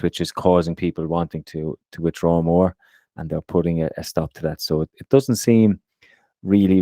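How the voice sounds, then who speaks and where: A man with a very low, dark voice co-hosts a podcast in a casual way. He often stumbles over and repeats words. The recording is close and dry. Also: no room sound. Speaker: podcast co-host. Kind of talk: casual chat.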